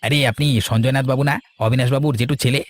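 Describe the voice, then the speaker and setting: A man's voice: medium pitch, steady tone, rapid-fire, a little hiss or hum, lightly acted. A man playing an eager, self-important village henchman in a cartoon, fawning and boastful. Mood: eager, ingratiating. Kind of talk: scripted cartoon dialogue.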